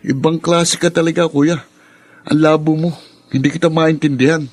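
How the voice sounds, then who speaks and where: An actor playing an angry, resentful younger brother in a radio drama, husky and accusing. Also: male voice, a little high, fairly even intonation, normal speed, darkish tone, lightly acted. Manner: accusing, indignant.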